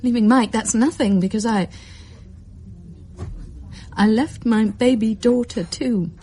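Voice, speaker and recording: An actress playing a fragile but determined young mother in distress, speaking haltingly and emotionally in a radio drama. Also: a little high, warm tone. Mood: distressed confessing. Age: young adult. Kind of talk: naturalistic radio drama acting.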